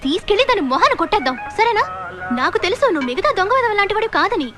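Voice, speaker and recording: A woman's voice: very high-pitched, very animated. An actress playing a feisty young policewoman in a comedy film, wheedling and dramatic as she talks. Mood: conspiratorial, flattering. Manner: dramatic, cajoling, quick to plead. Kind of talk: Telugu film comedy acting. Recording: music behind the dialogue.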